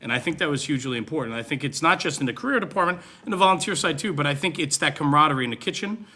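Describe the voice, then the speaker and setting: A man's voice: on the high side, expressive, racing, sharp tone. A man hosting a casual podcast conversation, talking informally with his guests. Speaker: podcast host.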